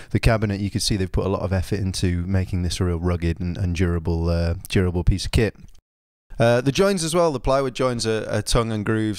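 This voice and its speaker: A man giving an informal spoken product review, explaining things in a measured, understated way. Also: low voice, no echo, studio quality.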